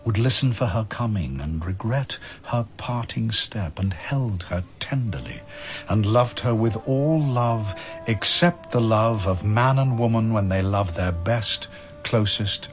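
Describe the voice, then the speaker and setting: A man narrating verse as a dramatic storyteller, in a measured, solemn, literary manner. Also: on the low side, husky.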